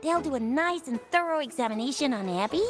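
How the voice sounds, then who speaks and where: A woman's voice: high voice, expressive, quick pace, soft background music. A woman voicing a sassy, teasing young female companion in an anime-style video game, wry and casual. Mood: wry, scheming.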